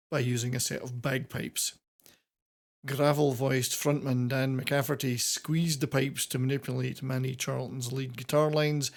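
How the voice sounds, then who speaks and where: A man's voice: medium pitch, vocal fry. A man hosting a semi-scripted countdown commentary on classic rock, with wordy, playfully florid presenter phrasing. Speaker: host of a classic rock video channel.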